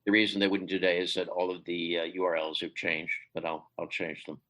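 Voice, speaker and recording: Male translator guest, lowish voice, halting and filler-heavy, with sudden high emphasis. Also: level tone, bright, forceful tone, poor audio. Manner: hesitant, many fillers and restarts, rising emphatic pitch. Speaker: literary translator, podcast guest. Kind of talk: conversational interview.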